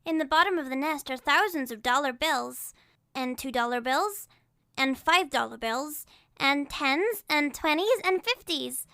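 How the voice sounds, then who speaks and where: A man doing an eager high boy's voice. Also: unhurried, gravelly, no room sound, clean studio sound, heavily acted. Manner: excited, bright. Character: eager young boy. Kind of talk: audiobook character voice.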